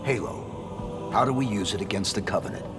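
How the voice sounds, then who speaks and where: A man voicing a gruff, terse male super-soldier who speaks bluntly and to the point. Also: on the low side, normal speed, big-room sound, music in the background, close to an everyday voice.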